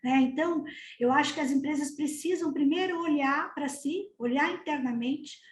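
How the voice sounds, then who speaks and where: High-voiced woman in an echoey room. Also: normal speed. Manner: earnest, asks rhetorical questions. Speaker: panelist. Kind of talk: webinar panel discussion.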